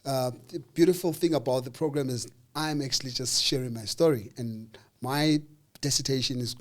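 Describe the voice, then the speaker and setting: A South African man, mid-pitched, recounts his journey in an unhurried, chatty way. He slips into quick little voices for the people he meets and leans on "um" as he gathers his thoughts. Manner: animated, acts out dialogue with voices, hesitant fillers. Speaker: interview guest. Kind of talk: casual storytelling interview.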